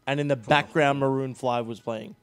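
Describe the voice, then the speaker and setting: A young man who streams, telling stories on a couch in an animated way and laughing often. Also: medium pitch, moderate pace, smooth. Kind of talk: casual group chat. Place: living room couch with others.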